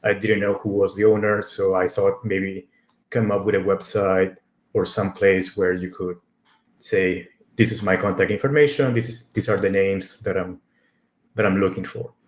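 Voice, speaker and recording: The sound is noticeably echoey. A man from Argentina whose first language is Spanish speaks in a fairly low voice, presenting informally about tech. He is conversational and a little halting, repeating words as he goes. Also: fairly even intonation. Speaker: conference speaker.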